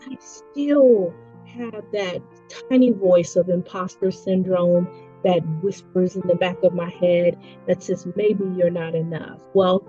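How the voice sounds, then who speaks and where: A woman in her forties giving an earnest, reflective personal talk, slowing down to stress her points. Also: fairly low pitch, dark tone. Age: 40s. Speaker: education leader. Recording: dull lo-fi audio with faint music.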